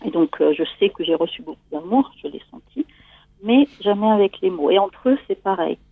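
Woman, 40s, Italian accent. Hesitant, personal, conversational guest, mid-range pitch, very dry sound. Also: expressive, brisk, gravelly, dry recording, phone-quality sound. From Italy. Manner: hesitant, searching for words. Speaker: guest seeking coaching.

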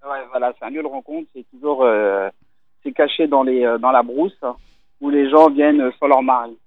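A man from Italy, a restaurant owner, talking casually on the phone in an interview, relaxed and hesitant with many fillers. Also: mid-range voice, moderate pace, no echo. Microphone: telephone. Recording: tinny phone line.

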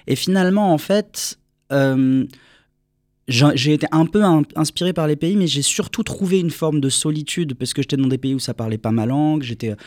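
A young man from France, a singer answering interview questions in a relaxed, rambling way with many fillers. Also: fairly high pitch, moderate pace, dry recording, clean studio sound. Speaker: singer guest.

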